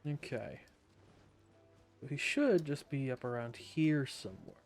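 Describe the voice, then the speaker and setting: A man's voice: medium pitch, lively intonation, slow, soft background music. A man streaming a game live, casually joking and laughing about the story. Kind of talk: casual live gaming commentary.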